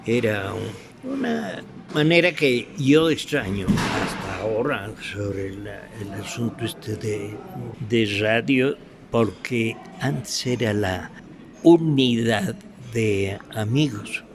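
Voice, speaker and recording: Older male interviewee, Ecuadorian accent, retired radio actor. Gravelly, halting delivery, unhurried, over clear ambient noise. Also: fairly low pitch, lively intonation. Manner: halting, searching for words. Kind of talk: reminiscing in an interview.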